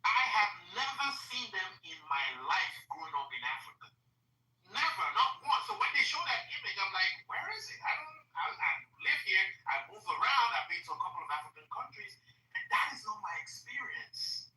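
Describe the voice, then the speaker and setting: A Nigerian woman in her forties argues insistently, with a bright, sharp voice that swings widely in pitch. She sits back from the mic in a roomy, echoing space. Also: big pitch swings, brisk. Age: 40s. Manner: emphatic, insistent, repeats herself while making a point. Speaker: discussion participant. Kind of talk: heated conversational debate. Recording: roomy echo, distant mic.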